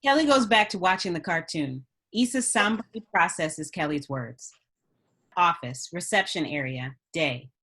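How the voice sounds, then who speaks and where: A woman's voice: on the low side, animated, normal speed, fairly bright tone. A woman narrating stage directions from a script in a scripted reading style.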